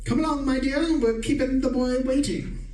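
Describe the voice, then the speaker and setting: An actor playing a genteel, smug, scheming married professor in a formal old-time radio suspense style. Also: male voice, extremely high pitch, moderate pace, a little mellow. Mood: smug, genial. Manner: formal, chuckling, self-satisfied. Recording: roomy, distant stage recording with music.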